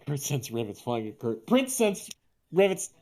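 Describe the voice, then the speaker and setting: A woman's voice: low-pitched, very animated, unhurried, a crowd in the background. A woman co-hosting a table read, reading script directions casually with wry, amused, informal commentary, other voices around her. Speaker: podcast co-host taking turns reading a script.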